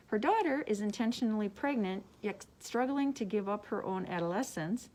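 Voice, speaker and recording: A woman's voice: medium pitch, a little mellow, low-quality recording. A woman librarian presenting book recommendations, partly reading summaries aloud.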